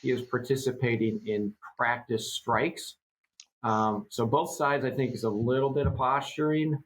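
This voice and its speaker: A middle-aged man in his fifties talks through industry news on a podcast. He rambles, trails off into fillers, and keeps a relaxed, conversational flow at a middle pitch. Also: measured pace, low-quality recording. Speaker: co-host. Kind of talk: casual podcast chat.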